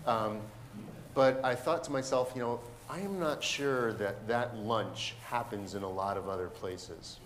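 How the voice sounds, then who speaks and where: An older man moderating a panel, telling an anecdote and posing questions informally, often pausing mid-phrase. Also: medium pitch. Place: stage of a lecture hall, indoors. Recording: echoey hall with background noise.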